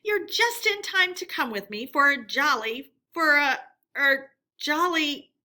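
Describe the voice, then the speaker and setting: A woman's voice: sits high, animated, slow pace, pushed and bright, voice pushed up, heavily acted. A woman voicing a jaunty, swaggering male toad from a children's storybook, blustering and defiant. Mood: cheerful. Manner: blustering, spirited. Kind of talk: children's storybook character reading.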